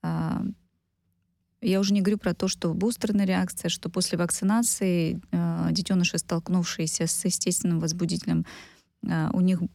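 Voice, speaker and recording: A woman giving expert interview answers as a medical researcher, speaking emphatically in technical terms with pauses between clauses. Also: lowish voice, flat delivery, vocal fry, warm tone, no room sound.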